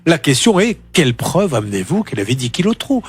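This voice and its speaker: A man hosting a live radio show from the studio, his voice sitting a little high for a man. He has a cold and a runny nose, talks in an animated way, jokes, and cuts people off. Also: husky. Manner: animated, quick to interrupt, joking. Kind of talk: live consumer-help radio talk.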